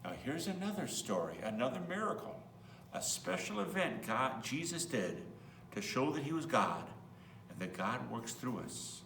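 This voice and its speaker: Male priest for children's story reading; expressive, bright delivery, echoey room, mic set back with faint hum. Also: mid-range voice, normal speed, gravelly, distant mic. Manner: expressive, explains in simple words as to children. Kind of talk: storybook read-aloud with asides to children.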